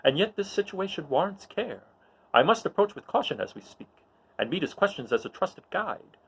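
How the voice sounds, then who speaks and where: A man playing an earnest old mentor, speaking a measured, hopeful soliloquy in Shakespearean verse. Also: sits high, sing-song, creaky, fairly bright tone, slightly rough, voice pushed up, exaggerated voice. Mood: hopeful, careful. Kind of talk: dramatic reading of mock-Shakespearean verse.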